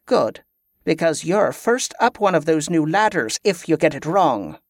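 A man's voice: on the high side, sing-song, a little slow, dry recording, clean studio sound, exaggerated voice. A man voicing a stern, scornful female military commander who gives clipped orders in inverted dialect phrasing, in a fantasy audiobook. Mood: scornful, instructing.

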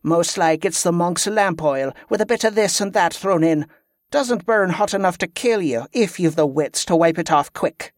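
A man's voice: high-pitched, moderate pace, no room sound, studio quality, pitched above the performer's own voice, lightly acted. A man voicing a stern, scornful female military commander who gives clipped orders in inverted dialect phrasing, in a fantasy audiobook. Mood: scornful, instructing.

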